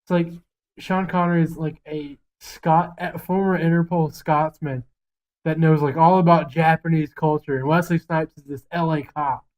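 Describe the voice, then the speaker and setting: Brash, higher-pitched male podcast co-host. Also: fairly even intonation, normal speed, muffled recording. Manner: brash, profane, jokey. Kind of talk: casual chat.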